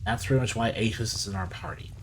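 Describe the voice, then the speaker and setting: A man's voice: lowish voice, fairly even intonation, fast, background noise. A man giving casual gameplay commentary as the host of a gaming video. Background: game music.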